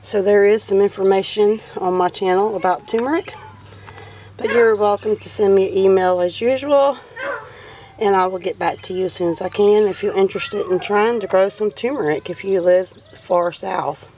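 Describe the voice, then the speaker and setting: A woman's voice: mid-range voice, normal speed, warm tone. A woman with a Southern US accent gives a casual, folksy, unscripted garden tour in a rambling, appreciative way. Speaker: homestead vlogger giving a garden tour. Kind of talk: casual unscripted walk-through narration. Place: outdoors in a homestead garden. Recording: noisy outdoor recording with animal sounds. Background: birds, roosters and farm animals.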